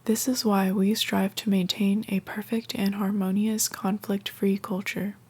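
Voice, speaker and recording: A woman playing a serene, polished leader of a bunker commune in an audio comedy, welcoming newcomers formally in a breathy half-whisper. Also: medium pitch, level tone, a little slow, mellow. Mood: soothing, welcoming. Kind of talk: scripted ASMR orientation message.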